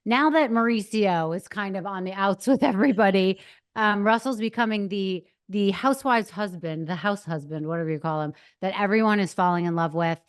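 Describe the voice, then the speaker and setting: A woman's voice: mid-range voice, a little fast, smooth. A woman hosting a podcast, talking in a chatty, enthusiastic way with frequent fillers. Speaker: podcast host. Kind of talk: podcast interview and intro. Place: studio in Boca.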